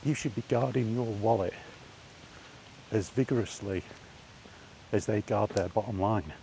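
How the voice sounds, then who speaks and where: A man's voice: on the low side, measured pace, mellow, slight background noise, low-quality recording. Blunt man ranting outdoors. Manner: blunt, emphatic, lecturing. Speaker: gaming vlogger. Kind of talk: opinion rant while walking. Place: outdoors by allotments.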